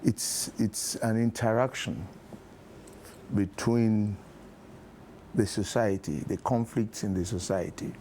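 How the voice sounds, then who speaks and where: A Nigerian man speaking as an interview guest, explaining deliberately in short rhetorical phrases. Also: fairly low pitch, steady tone, warm tone, a little hiss or hum. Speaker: interview guest, theatre producer.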